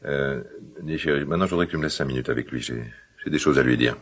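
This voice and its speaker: An actor playing a gruff, quick-tempered but caring middle-aged father in a radio drama, with halting, repetitive, colloquial speech. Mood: uneasy, conciliatory. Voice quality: deep, gravelly, husky. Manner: halting, repeats himself, blustering colloquial speech. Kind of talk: naturalistic French radio drama acting.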